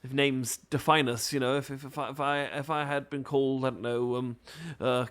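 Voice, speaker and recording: A male performer voices an adorkable British man in a romantic ASMR-style roleplay. He is nervous, bookish and apologetic, with a mid-pitched voice. His sentences stammer, stall and wander off into hesitant, flustered rambling. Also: little pitch movement, normal speed, a bit bright, natural voice. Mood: musing, awkward.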